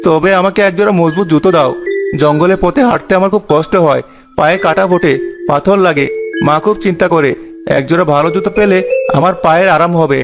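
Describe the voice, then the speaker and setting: A man's voice: on the high side, fast, close to an everyday voice. Earnest, humble young woodcutter played by a man. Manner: earnest, gentle, soothing. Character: poor kind-hearted young woodcutter boy, gentle and humble. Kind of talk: animated fairy tale acting. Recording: dull lo-fi sound. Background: loud background music.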